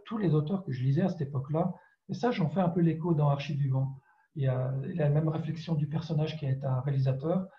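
A man from France, a writer answering interview questions reflectively and hesitantly, searching for his words. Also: a little high. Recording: dull, roomy, echoing sound.

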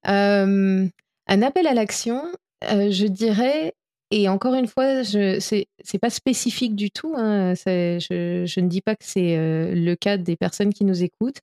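Woman from France, mellow warm voice, earnest, hesitant, lots of fillers, interview guest. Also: mid-range voice, measured pace. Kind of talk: podcast interview answers.

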